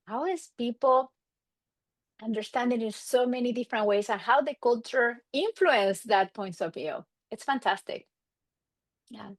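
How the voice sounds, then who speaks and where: A woman's voice: on the high side, measured pace, no room sound. A middle-aged woman from Argentina, a native Spanish speaker with an Argentine accent, telling stories casually as an interview guest and acting out quoted exchanges. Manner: animated, acts out quoted exchanges. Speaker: guest, marriage and family therapist.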